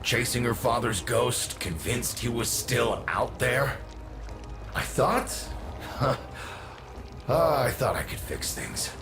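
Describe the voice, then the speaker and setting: An actor playing a gruff, weary old warrior father in a video game, gravelly, wry and wounded, with a halting delivery. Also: medium pitch, animated, music in the background. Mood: wistful, regretful.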